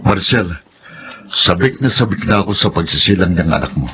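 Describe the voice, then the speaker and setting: A man's voice: low-pitched, expressive, moderate pace, loud music behind the voice. A man playing a domineering, possessive father in a radio drama. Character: domineering, possessive rich man demanding his child.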